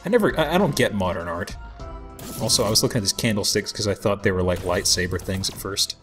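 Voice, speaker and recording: A man with a fairly low voice hosts a video game playthrough. He chats casually and rambles into joking asides, with game music clearly playing behind him. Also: lively intonation, quick pace, a bit bright. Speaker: let's play host. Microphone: voice-over microphone over game audio.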